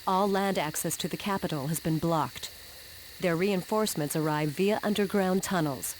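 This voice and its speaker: A resolute female war leader in a fantasy game, played by an actress. Her voice is a little low, measured and commanding, with clear noise behind it. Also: natural voice, rough recording. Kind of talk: scripted video game cutscene narration.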